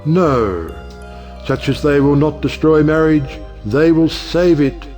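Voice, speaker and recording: A man's voice: on the high side, slow, a little mellow. Grandiloquent male judge, played by an actor, over music. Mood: impassioned. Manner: oratorical, grandiloquent. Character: eloquent, high-minded male judge defending lovers. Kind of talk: stage play oratory in an audio play.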